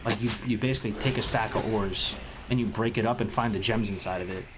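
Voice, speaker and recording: A man's voice: lowish voice, fast talker, background noise. A man live-streaming and chatting casually with his viewers, sounding congested with a cold, rambling and restarting his sentences with plenty of gamer slang. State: congested, sniffly. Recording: music playing clearly behind.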